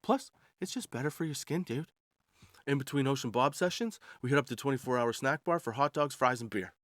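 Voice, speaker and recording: A man's voice: mid-range voice, animated, fairly quick, fairly bright tone, dry recording. Casual man in his forties, dry close recording. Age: 40s. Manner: chuckles often at his own jokes; slangy, ends remarks with "dude" and "right". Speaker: podcast host. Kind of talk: casual comedic storytelling monologue.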